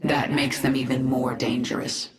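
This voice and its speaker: A low-voiced, commanding alien swarm queen. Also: flat delivery, normal speed, crowd noise. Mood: grim, commanding. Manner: terse, intense, commanding. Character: vengeful alien swarm queen, commanding, grim young woman. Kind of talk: dramatic video game cutscene acting. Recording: roomy echo with game music behind.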